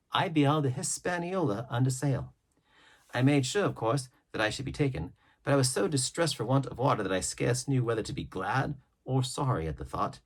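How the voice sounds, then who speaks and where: A male audiobook reader with a slightly rough, husky voice at a medium pitch. He tells an adventure tale dramatically, his intonation rising and falling widely. He leaves deliberate pauses between phrases. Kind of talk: expressive read-aloud of a classic adventure novel.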